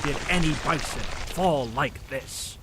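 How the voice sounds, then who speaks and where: A man's voice: fairly high pitch, measured pace, noisy background, natural voice. A man playing a grand, florid comic-book narrator, with theatrical and emphatic scripted delivery. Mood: brooding. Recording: music bed under the voice.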